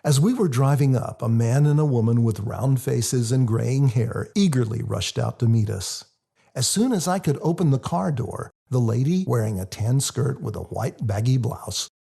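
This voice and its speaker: A man reading his own book as scripted audiobook narration, in a husky, gravelly voice. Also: mid-range voice, expressive, normal speed, dark tone.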